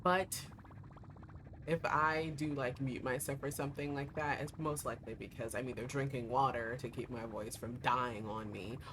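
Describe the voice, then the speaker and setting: Hoarse young woman streamer, chatty. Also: very deep voice, fairly even intonation, moderate pace, fairly bright tone, slight background noise. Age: young adult. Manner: rambling, chatty, lots of fillers. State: hoarse, voice giving out. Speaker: VTuber streamer. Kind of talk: casual livestream chat while playing a gacha game. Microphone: desk streaming mic. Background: game music.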